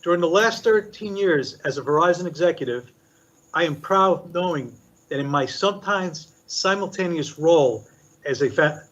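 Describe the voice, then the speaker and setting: A man's voice: on the high side, lively intonation, fairly bright tone. Older man giving formal remarks, lo-fi. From Puerto Rico. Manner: measured, deliberate delivery with listed points. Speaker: commissioner. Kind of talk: formal prepared statement at a public meeting. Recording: dull lo-fi meeting recording.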